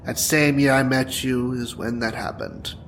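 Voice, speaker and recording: A man's voice: mid-range voice, steady tone, unhurried, fairly bright tone. An actor playing a bitter, wounded young male supervillain in an audio drama, taunting and dramatic in manner. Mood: bitter, accusing. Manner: taunting, dramatic, profane, breaking into whispers and laughter. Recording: clear background noise and ambience.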